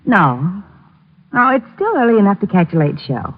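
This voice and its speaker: Coy radio sweetheart, lively intonation. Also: female voice, mid-range voice, moderate pace. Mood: sulky. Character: flirtatious, jealous sweetheart of a private detective. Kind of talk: 1940s radio drama acting. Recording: dull old radio recording, faint music.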